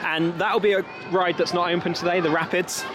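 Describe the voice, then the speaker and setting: A young British man with a fairly high voice talks casually to his viewers as he vlogs. He is outdoors with the camera at arm's length, and a loud, busy crowd fills the noisy background. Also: level tone, brisk. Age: young adult. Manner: chatty, informal, addressing viewers. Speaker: travel vlogger. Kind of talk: casual vlog chat. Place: outdoors in a theme park. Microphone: handheld camera at arm's length. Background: crowd and outdoor park noise.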